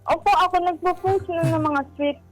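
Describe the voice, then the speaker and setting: A Filipino woman with a distinctly high voice calls in to share something personal. She speaks in a polite, deferential way, confiding as she goes. Music plays softly behind her. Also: steady tone, normal speed. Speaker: caller seeking advice.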